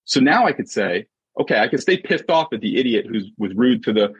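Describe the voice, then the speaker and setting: A husky-voiced man giving an emphatic, conversational motivational talk as a coach and podcast guest, often checking in with short rhetorical questions. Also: mid-range voice, big pitch swings, fairly quick, no room sound. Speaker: podcast guest, sports mindset coach and author. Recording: dull, muffled lo-fi audio.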